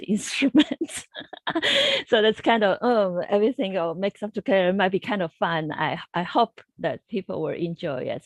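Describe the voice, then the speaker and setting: A woman's voice: on the low side, darkish tone, no room sound. A woman composer on a video call panel, speaking hesitantly and repeating words.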